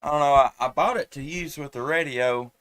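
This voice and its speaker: A man's voice: fairly high pitch, fairly quick, a bit bright, dry recording. A man, chatting casually and rambling as a ham radio operator on a headset microphone. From United States. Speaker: amateur radio operator and live stream host. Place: at home indoors.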